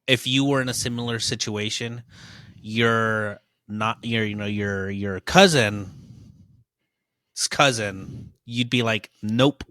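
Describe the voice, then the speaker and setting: A man's voice: lowish voice, slow, pushed and bright, dry recording. A man hosting a casual podcast, laid-back and teasing, often laughing. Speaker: podcast host.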